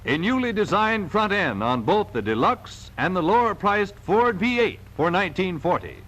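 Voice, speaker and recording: Animated, high-pitched 1940s promotional film announcer, male. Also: moderate pace, poor audio. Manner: emphatic, polished salesman delivery. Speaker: narrator of a car sales training film. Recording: vintage 1940 film soundtrack.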